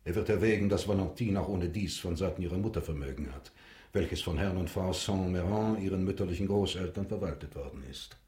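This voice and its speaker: A man in a German radio drama playing a proud, uneasy gentleman prosecutor, formal and guarded. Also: sits low, fairly quick, reverberant, close to an everyday voice. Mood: proudly insistent.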